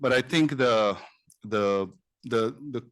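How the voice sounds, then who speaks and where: A man's voice: mid-range voice, a little slow, fairly bright tone. A man speaking as a conference panelist, hesitant, with many fillers and restarts. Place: conference breakout room.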